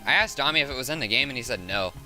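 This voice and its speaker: A man with a raspy, hoarse voice chatting casually on a game livestream, rambling and thinking aloud. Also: medium pitch, lively intonation, racing, bright, forceful tone, faint music behind. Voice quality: raspy, sore voice. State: hoarse from hours of talking. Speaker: game streamer, host. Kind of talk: casual livestream chat while gaming.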